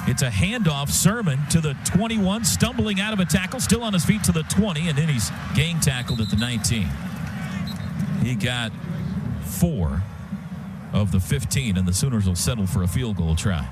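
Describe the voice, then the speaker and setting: A man's voice: medium pitch, animated, moderate pace, heavy background noise. An American man calling live football play-by-play on the radio in clipped, present-tense phrases. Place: stadium radio booth. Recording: live radio broadcast, loud stadium sound behind.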